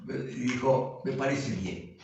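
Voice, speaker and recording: A man's voice: mid-range voice, unhurried, vocal fry, darkish tone. Mexican man in a very echoey room. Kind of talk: casual slangy anecdotes among friends. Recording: very echoey, distant, dull, slightly noisy.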